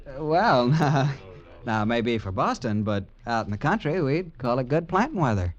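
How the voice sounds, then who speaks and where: An actor playing a plain, good-natured country farmer who talks hesitantly with many uh's, in a 1940s radio play. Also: male voice, medium pitch, very animated, moderate pace, lightly acted. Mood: folksy plain. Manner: hesitant, folksy, full of uh's. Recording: dull old radio recording.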